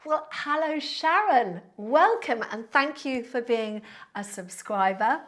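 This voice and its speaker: A woman's voice: on the high side, lively intonation, slow pace, a bit bright. A woman of sixty, a Pilates instructor chatting on a live stream, thanking viewers by name in a friendly, rambling way with fillers. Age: 60s. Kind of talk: live-stream chat reading out viewer comments.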